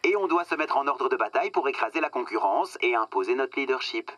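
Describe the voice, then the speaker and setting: An actor playing a smug, cynical corporate boss in a satirical radio drama, slick and condescending, coaching with emphatic pauses. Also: male voice, mid-range voice, lively intonation, fairly bright tone, no room sound. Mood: smug, persuasive.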